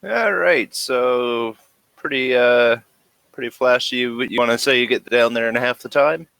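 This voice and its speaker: A man acting as game master, narrating a tabletop game casually with hesitant pauses as he describes scenes. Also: medium pitch, vocal fry, fairly bright tone, clean tone, dry recording.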